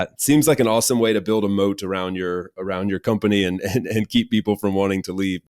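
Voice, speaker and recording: Man, American accent, lowish pitch, dry close sound, podcast host, casual. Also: clean tone, dry recording. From United States. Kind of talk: casual conversational podcast hosting.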